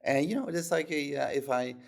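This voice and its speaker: A man's voice: on the high side, brisk, gravelly. A Dutch-accented man from the Netherlands, a startup founder talking casually on a podcast, rambling with lots of fillers and restarts.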